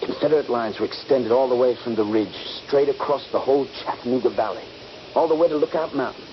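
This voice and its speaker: A man's voice: mid-range voice, fast talker, close to an everyday voice. An actor playing a bold, eager young Union Army soldier in a radio drama, confident and dramatic in his urging. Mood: eager, reminiscing. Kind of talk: radio drama acting with first-person narration. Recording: dull, noisy 1970s radio recording.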